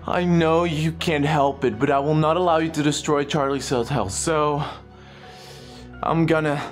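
A man's voice: on the high side, steady tone, measured pace, soft background music, natural voice. A man voicing an exasperated, short-tempered male king of hell in a fan comic dub, whining and snapping. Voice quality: exasperated, whiny.

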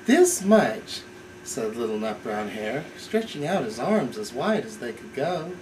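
A man's voice: medium pitch, very animated, moderate pace. A middle-aged man reading a bedtime storybook aloud to a baby. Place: small living room, indoors. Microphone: camcorder a few feet away. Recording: noisy home camcorder, room hum.

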